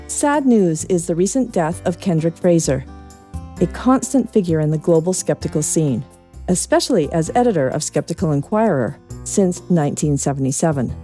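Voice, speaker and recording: A Canadian woman reading scripted newsletter highlights as a podcast news reader. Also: mellow.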